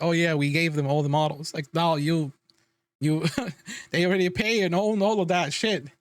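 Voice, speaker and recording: A man's voice: high voice, no echo. A man livestreaming a casual, exasperated reaction rant, emphatic and freely swearing. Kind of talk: casual ranting reaction commentary.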